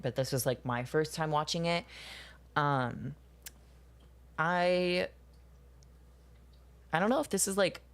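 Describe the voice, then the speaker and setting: A young woman in her twenties with a notably low voice, talking with her live viewers in a loose, rambling way. She swears freely and laughs often as she goes. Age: 20s. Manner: rambling, profane, laughs often, answers chat. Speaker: streamer chatting with viewers. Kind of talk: casual chat while drawing.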